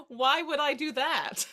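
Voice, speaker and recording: Actress as a high-voiced, playful woman friend. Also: bright, forceful tone, full character voice. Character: playful, caring adult woman, jokey best friend. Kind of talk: naturalistic two-hander drama acting.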